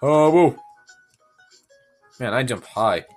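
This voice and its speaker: A young man giving goofy, self-mocking commentary while playing a game, sick and sounding deeper than usual. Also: lively intonation, slow, faint music behind. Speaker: gamer. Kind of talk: casual gameplay commentary.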